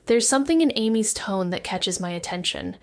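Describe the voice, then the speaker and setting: A woman's voice: medium pitch, expressive, fairly quick, dry recording, studio quality, lightly acted. An actress playing a cautious, wry young amateur detective who narrates her own story in first person in a noir audio drama. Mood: reflective. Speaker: narrator and lead character.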